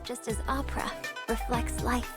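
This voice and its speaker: A woman's voice: a little high, level tone, normal speed, close to an everyday voice. A woman playing a graceful young opera troupe leader in a game trailer, polite, poised and formal. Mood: gracious. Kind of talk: game character trailer monologue. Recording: loud music behind the voice. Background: loud trailer music.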